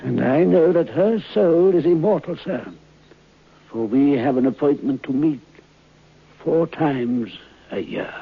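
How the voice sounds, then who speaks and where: Broken old man in old radio drama, gravelly, halting n sad, dull old recording. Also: on the high side, lively intonation, heavily acted. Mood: weary, sorrowful. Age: older. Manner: halting, shuffling, mournful delivery.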